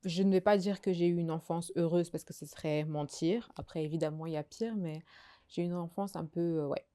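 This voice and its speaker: A young woman from Belgium talks through her life story as a podcast guest. Her voice sits low and mellow, and she pauses often with fillers and restarts her sentences. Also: clean tone. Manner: hesitant, many fillers and restarts. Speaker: guest sharing her testimony. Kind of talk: casual conversational testimony.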